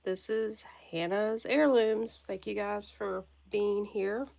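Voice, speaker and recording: Stuffy-nosed woman chatting on a slow live stream. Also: mid-range voice, fairly even intonation, a little mellow. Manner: friendly greetings to viewers by name; occasional coughing and throat clearing. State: stuffy nose from a cold. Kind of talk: casual livestream chat.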